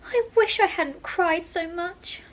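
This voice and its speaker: A woman reads a well-mannered, curious young girl who chatters on eagerly. Her voice sits high and bright. She speaks with a tidy, proper politeness. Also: takes it slowly. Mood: wistful.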